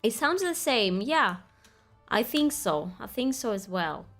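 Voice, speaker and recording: A woman's voice: a little high, big pitch swings, measured pace, fairly bright tone, soft background music. A young woman streaming a game, chatting casually with her viewers, laughing easily and reading quest text aloud. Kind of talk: casual gaming commentary.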